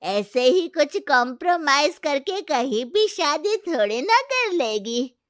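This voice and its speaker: A woman's voice: sits high, very animated, slow pace, a bit bright, no echo, studio quality, heavily acted. A woman voicing a proud, protective grandmother, firm and indignant, in an audio story.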